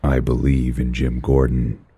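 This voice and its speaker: Man playing a very deep, grim masked vigilante. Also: completely flat delivery, a little slow, mellow, husky, no echo, full character voice. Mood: solemn. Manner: terse, solemn, menacing. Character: grim brooding vigilante in a mask, adult man. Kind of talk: comic-book audio drama acting and narration.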